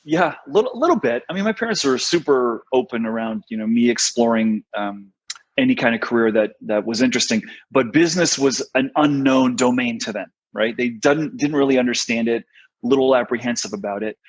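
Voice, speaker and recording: A middle-aged American man, a podcast guest, telling his career story in a relaxed way with many fillers. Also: medium pitch, a little fast, slightly rough, lo-fi sound. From United States. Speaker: guest, talent strategist. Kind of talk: podcast interview.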